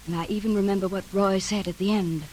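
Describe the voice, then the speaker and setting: A woman's voice: medium pitch, fairly even intonation, fast talker, a little mellow, slight background noise, natural voice. An actress playing an anxious, insistent mother in a 1940s radio drama, speaking with earnest, precise, pleading delivery. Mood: haunted recollection. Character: anxious, insistent mother and sister, recalling horror.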